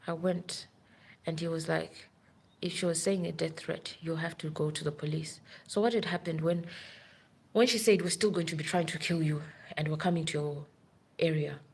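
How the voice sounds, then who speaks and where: A woman's voice: low voice, fairly even intonation, moderate pace, gravelly, breathy edge. A woman who works as a nurse, telling a long story in a rambling, urgent way on a live stream. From Africa.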